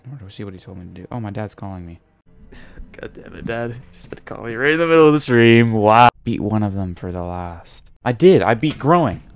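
A man's voice: lowish voice, expressive, a little fast, clear, resonant tone, no echo, soft background music. A young man giving casual, offhand live gaming commentary, muttering to himself and swearing. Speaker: game streamer.

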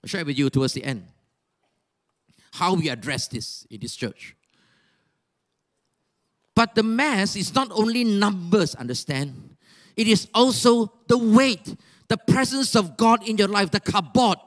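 An older man with a Malaysian English accent preaching a sermon on a church stage into a handheld mic, animated and exhorting, with rhetorical questions and sudden shouts. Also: high-pitched, measured pace, long pauses. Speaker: pastor.

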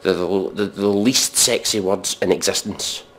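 A man giving mock dramatic readings and sardonic commentary in a deadpan, exasperated, sweary manner. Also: sits low, measured pace. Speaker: comedic commentator.

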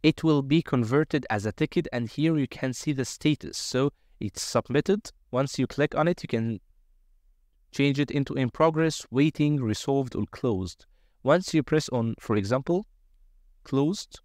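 Male tutorial presenter, mid-pitched, semi-improvised step-by-step walkthrough, close and dry voice-over. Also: measured pace, darkish tone, no echo.

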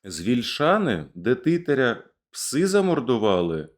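A man's voice: mid-range voice, sing-song, measured pace, voice pushed up. A man voicing a fierce Cossack rebel chief. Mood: commanding. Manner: rousing, declamatory. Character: fierce male Cossack rebel leader, commanding.

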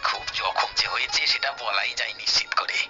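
A man's voice: on the low side, brisk, close to an everyday voice. A man voicing a jolly, gloating, gossipy village husband in a cartoon. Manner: boastful, gossipy. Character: jolly, gloating village husband who enjoys others' misfortune. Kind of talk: cartoon voice acting. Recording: muffled audio with background music.

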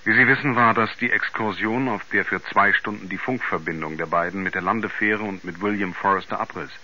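A man acting a sober narrator and confidant in a 1970s radio drama, in measured, articulate storytelling. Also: on the low side, sharp tone. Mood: sober, grave. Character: sober male narrator, astronaut's concerned confidant. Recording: narrow-band 1970s radio play recording.

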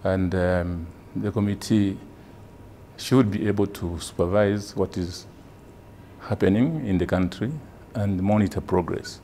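A middle-aged man from Zambia, a government trade official, answering interview questions in a measured way with frequent hesitations. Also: low voice, steady tone, warm tone, slight background noise. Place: conference room indoors.

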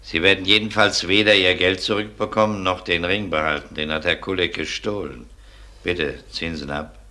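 Deep-voiced, sardonic detective. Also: male voice, fairly even intonation, normal speed, sharp tone. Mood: sardonic, firm. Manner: dry, ironic, authoritative. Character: dry, sardonic police detective. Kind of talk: German crime radio play acting.

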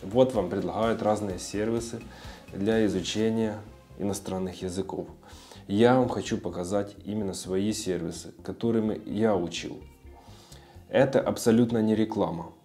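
The laid-back, deep-voiced man you'd find on a video blog, informally explaining his tips with a little music underneath. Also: steady tone, moderate pace, dark tone. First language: Russian. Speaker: video blogger sharing language-learning tips. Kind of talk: casual tutorial talk.

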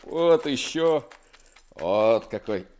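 An actor playing a gentle, melancholy sailor turned convict in a radio drama, speaking in humble, folksy, weary phrases. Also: male voice, medium pitch, expressive, extremely slow. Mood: kindly. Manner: soft-spoken folksy servility, brief weary phrases. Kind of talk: Soviet radio drama acting.

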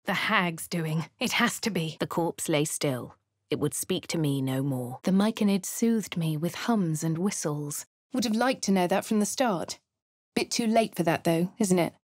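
A woman with a posh English accent speaking a game hero's lines and first-person narration in a measured way. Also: on the low side, breathy edge, no echo, studio quality. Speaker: player character voice. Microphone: studio mic.

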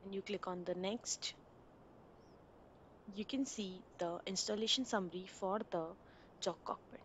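Woman narrating a software installation tutorial. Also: mid-range voice, unhurried, a little hiss or hum. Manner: plain step-by-step instructional delivery. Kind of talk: screen-recorded installation walkthrough.

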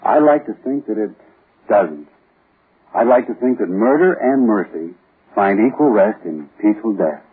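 Old time radio host guy, lowish husky voice, writer talking about his show, muffled recording. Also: lively intonation, normal speed. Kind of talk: 1940s radio host talk.

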